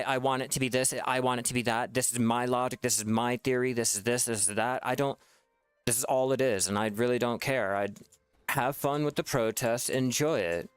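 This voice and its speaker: A man vents about a game in a frustrated, profane rant and keeps restarting his sentences. His voice is smooth and mid-pitched, recorded close and dry. Faint music plays underneath. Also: no echo. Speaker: gaming video creator. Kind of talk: ranting gameplay commentary.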